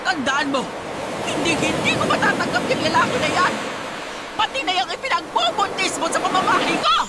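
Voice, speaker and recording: A woman's voice: extremely high pitch, big pitch swings, brisk, over a noisy crowd, heavy background noise. An actress playing a furious, scornful mother who interrogates and scolds in a Filipino radio drama. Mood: outraged. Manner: interrogating, scornful, explosive outbursts. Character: furious, scolding mother confronting her pregnant student daughter.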